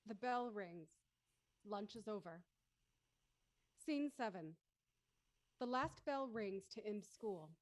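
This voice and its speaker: A woman's voice: mid-range voice, expressive, takes it slowly, pauses often, poor audio. A woman reading stage directions as the narrator of a scripted drama.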